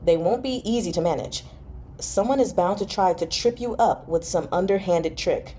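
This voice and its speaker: A tender and devoted young nobleman in a novel read aloud, voiced by a woman. The voice is soft-edged and mellow, with a restless, earnest romantic temper. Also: lowish voice, moderate pace, a little hiss or hum, lightly acted. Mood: concerned, intimate. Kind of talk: expressive audiobook-style novel reading.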